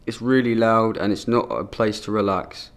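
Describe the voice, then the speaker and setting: A man's voice: on the low side, fairly even intonation, normal speed. A young man speaking plainly and matter-of-factly in personal testimony for a short film.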